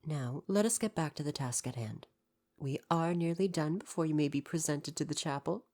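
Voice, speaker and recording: A woman's voice: low-pitched, normal speed, dark tone. A woman playing a devoted royal butler in an ASMR roleplay, speaking in a formal, deferential and precise way with parental care. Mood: tender, reassuring.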